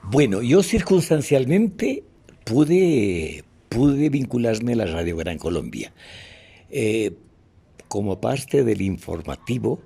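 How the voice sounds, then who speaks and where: Old ecuadorian guy in his eighties telling memories, raspy, pitch moves around a lot, keeps stopping to find names. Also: mellow. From Otavalo, Ecuador. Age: 80s. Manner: halting, pausing to search for names. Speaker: interviewee, veteran radio announcer and actor. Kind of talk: reminiscing in an interview.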